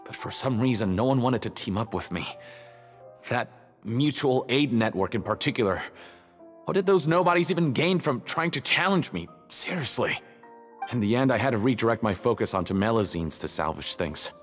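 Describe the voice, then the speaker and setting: A man's voice: medium pitch, expressive, moderate pace, close to an everyday voice. A man voicing a jittery, resentful smuggler prisoner in a video game, defensive and self-justifying. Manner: stammering, defensive, indignant. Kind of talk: scripted video game dialogue. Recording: long hall reverb, music behind.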